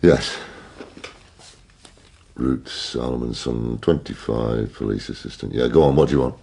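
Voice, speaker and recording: An actor with a British accent playing a gruff, impatient, sardonic middle-aged police detective in a radio drama, with a deep, husky, gravelly voice and curt manner. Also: male voice, measured pace, warm tone, heavily acted. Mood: suspicious, brusque. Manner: curt, brusque.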